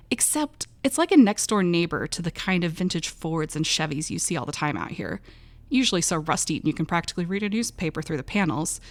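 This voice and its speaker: A woman's voice: fairly low pitch, lightly acted. A woman playing an uneasy, wry young female cashier, narrating an audio drama in a wary, conversational first person.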